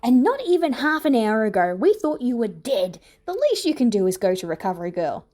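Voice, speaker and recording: Woman playing a terse, gruff male teacher. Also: a little high, big pitch swings, a little fast, darkish tone, a little hiss or hum. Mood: irritated. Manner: terse, commanding. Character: gruff, snappish thirty-year-old male teacher hero. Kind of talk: dramatic fan fiction reading.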